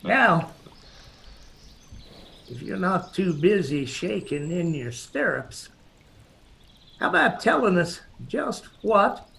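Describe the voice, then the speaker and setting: High, creaky, menacing Appalachian militiaman. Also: fairly bright tone, heavily acted. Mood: mocking. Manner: mocking, drawn-out threats. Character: menacing, taunting backwoods Confederate militia man. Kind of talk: radio drama acting.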